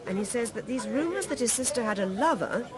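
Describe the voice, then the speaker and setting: A woman acting in a radio play as an inspector's sympathetic wife, gently conversational. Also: a little high, expressive, fairly quick, close to an everyday voice. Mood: companionable, sympathetic. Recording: loud outdoor city crowd behind.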